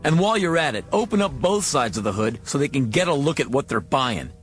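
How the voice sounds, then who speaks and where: A man's voice: mid-range voice, big pitch swings, soft background music, natural voice. A man playing a slick but rattled American used-car salesman boss in a radio drama, slangy and exclamatory. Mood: sardonic, troubled. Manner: slangy, fast-talking, exclamatory.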